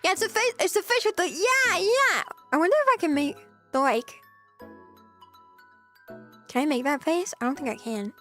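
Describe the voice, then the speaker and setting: Young female streamer, high soft voice, chatty and giggly, slightly scratchy throat, faint music bed. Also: animated, moderate pace, long pauses. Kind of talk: casual chat.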